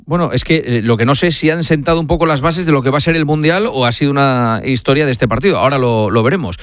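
A man's voice: medium pitch, quick pace, no echo. A man hosting a casual sports radio show, chatting with colleagues.

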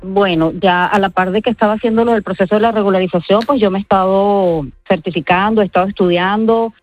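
A woman from Venezuela with a Venezuelan accent answers interview questions informally, in a halting way with repeated words. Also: mid-range voice, steady tone, no room sound, faint music behind. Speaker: interview guest. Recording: dull, band-limited radio-like sound.

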